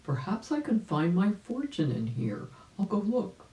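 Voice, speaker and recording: A woman voicing a kindly old gentleman rabbit from a children's storybook, exclamatory and easily flustered. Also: mid-range voice, very animated, unhurried, voice pushed up. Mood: curious. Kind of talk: children's storybook read aloud. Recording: echoey room.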